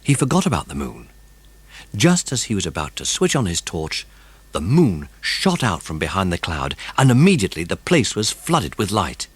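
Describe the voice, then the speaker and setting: A man narrating a children's mystery audiobook in a suspenseful, expressive way. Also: low voice, normal speed, husky, no room sound.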